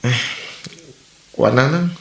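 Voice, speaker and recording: In a roomy, echoing recording, a mid-pitched young Ilocano son played by a man talks in a comedy drama. He is sheepish and evasive, hesitating and stammering apologetically to his mother. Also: a little slow, vocal fry.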